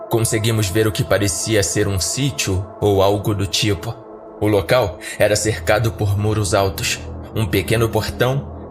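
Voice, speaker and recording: A man with a Brazilian accent narrating a scripted first-person horror story as a podcast narrator. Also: lowish voice. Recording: background music under narration.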